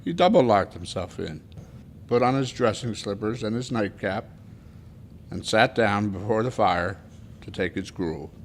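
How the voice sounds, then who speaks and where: A man narrating a classic story as a scripted radio drama, with deliberate, dramatic phrasing. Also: fairly low pitch, expressive, a little hiss or hum. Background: music.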